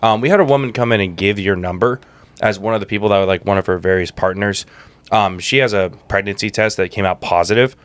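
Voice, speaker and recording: A man's voice: on the low side, quick pace, clean tone, lightly acted. A man playing a nervous, polite clinic worker on his first day, hesitant and rambling on a prank call.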